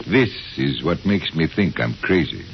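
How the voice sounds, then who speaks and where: An actor playing an absent-minded, irritable, troubled middle-aged professor, hesitant and distracted, in a 1950s radio drama. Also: male voice, a bass voice, lively intonation, unhurried, creaky, full character voice. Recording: muffled, noisy vintage radio recording.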